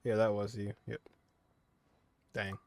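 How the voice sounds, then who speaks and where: Slow-paced man giving casual gaming commentary. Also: lowish voice, flat delivery, darkish tone. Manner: bemused, mild exclamations, muttered asides. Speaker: gaming video host. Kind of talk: casual let's-play commentary.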